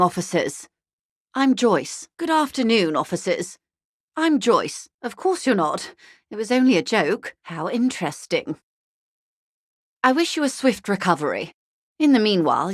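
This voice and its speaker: An actress playing an older, shrewd, well-bred corporate negotiator in a video game, speaking with composed courtesy, dry wit and measured diplomatic phrasing. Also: female voice, lowish voice, dry recording. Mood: courteous, poised. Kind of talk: video game character dialogue.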